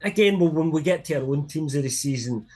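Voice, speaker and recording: A man's voice: on the high side, lively intonation, a little fast, darkish tone, soft background music. A man co-hosting a sports podcast, talking casually and thinking aloud as he goes. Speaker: podcast co-host.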